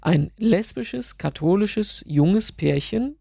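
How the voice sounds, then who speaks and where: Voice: mid-range voice, animated, slow, gravelly, warm tone, slightly rough, no echo, studio quality. A radio talk show host who interviews guests in a friendly, inquisitive way, at times stammering over repeated words. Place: radio studio.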